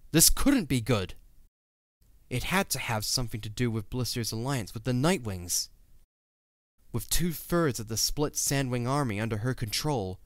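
A man's voice: medium pitch, lively intonation, normal speed, no room sound, studio quality. A man narrating a scripted audio drama as a dramatic storyteller.

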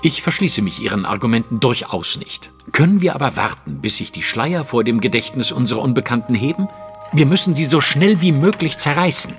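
An actor playing a shrewd, impatient gentleman detective in a German audio drama, speaking precisely and formally. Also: mid-range voice, very animated, brisk, faint music behind. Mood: formal, insistent.

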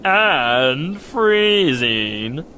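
A man's voice: on the high side, sing-song, sharp tone, a little hiss or hum, full character voice. A man playing a gloomy, self-pitying donkey in an audio drama, with mournful, understated complaints. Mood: gloomy, resigned. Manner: slow, mournful, understated, long-suffering complaints.

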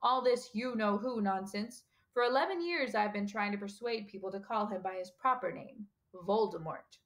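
A woman voicing a calm, gentle elderly male professor in an audiobook, with a measured and mildly whimsical manner. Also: mid-range voice, lightly acted. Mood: calm, reasonable. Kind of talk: audiobook character dialogue.

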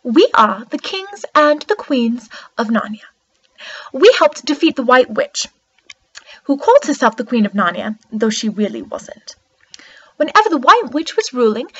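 A woman's voice: high voice, no room sound, muffled recording. A woman playing an eager, wide-eyed young girl queen from a magical land in an earnest storybook monologue. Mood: excited, proud. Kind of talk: character monologue.

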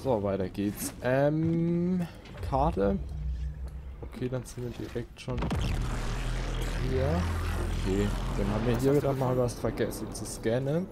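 Grumbling man, slow gravelly delivery. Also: medium pitch, lively intonation, background noise. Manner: mutters to himself, grumbling. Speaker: let's play host. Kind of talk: casual gameplay commentary. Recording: loud game music and effects behind. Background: video game music and sound effects.

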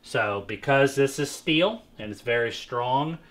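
Guy in his 30s walking thru a product demo, casual w/ lots of uhs, bright tone, roomy echo. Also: mid-range voice, expressive, measured pace, a little hiss or hum. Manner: casual, explanatory, with fillers and restarts. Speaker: host. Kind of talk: product demonstration. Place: indoor showroom with bare walls. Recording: echoey room.

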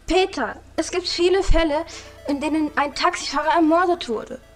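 A woman's voice: high-pitched, normal speed, faint music behind, close to an everyday voice. A woman playing a teasing, jealous virtual taxi assistant in an amateur audio play. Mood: warning.

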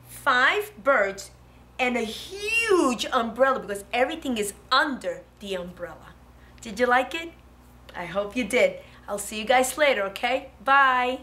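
A woman's voice: on the high side, bright, forceful tone. A woman in her thirties teaching young children step by step as a preschool teacher, in short, encouraging phrases with frequent check-ins. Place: indoor preschool classroom. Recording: classroom with audible background noise.

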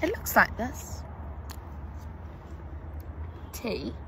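A young woman teacher, reading a picture book aloud to young children in a slow, exaggerated, sing-song way, recorded outdoors on a phone. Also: a little high, big pitch swings, vocal fry, fairly bright tone, a little hiss or hum. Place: outdoors under trees.

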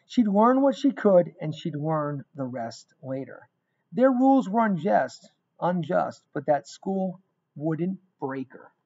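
A middle-aged man reading a rhyming picture book aloud in an expressive, emphatic storytelling manner. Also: a little high, slow. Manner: emphatic, dramatic storytelling with repeated phrases. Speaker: guest reader of a children's book. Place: small indoor room. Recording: dull, lo-fi webcam sound.

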